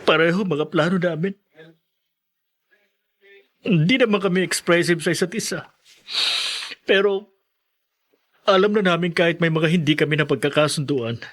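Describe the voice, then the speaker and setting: A man's voice: a little high, normal speed, pauses often, fairly bright tone, natural voice. A man playing an anxious, devoted young student in a radio drama, with a stammering, emotional delivery. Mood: grieving, reflective. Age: young adult. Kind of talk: Filipino podcast radio drama acting.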